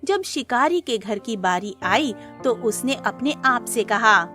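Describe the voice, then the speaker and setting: A woman's voice: fairly high pitch, expressive, unhurried. A woman narrating a scripted cartoon moral story. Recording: music under the voice.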